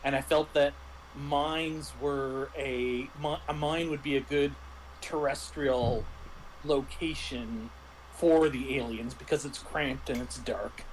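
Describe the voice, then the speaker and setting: A man in casual explanatory chat as a guest game master, speaking haltingly as he thinks aloud. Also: medium pitch, steady tone. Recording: clearly noisy livestream audio.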